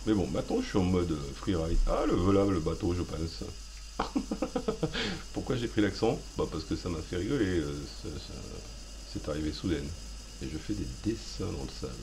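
Low-voiced man joking over a game. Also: huge pitch swings, moderate pace, noisy background. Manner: self-mocking, rambling, playful asides. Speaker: gaming video host. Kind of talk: joking let's play commentary.

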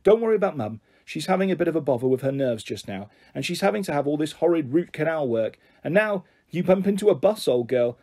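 A man voicing a kindly, protective father who soothes and gently questions, in an audiobook. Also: on the high side, animated, fast, hardly any pauses. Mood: comforting. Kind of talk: audiobook character voice.

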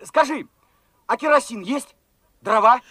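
A very high, bright voice as a young revolutionary soldier at the front. He is fervent and eager, speaking in plain folksy words and firing off questions, in the manner of Soviet radio drama. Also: unhurried, dry recording, exaggerated voice. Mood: excited. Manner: excited, colloquial, eager questioning. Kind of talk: Soviet radio play acting.

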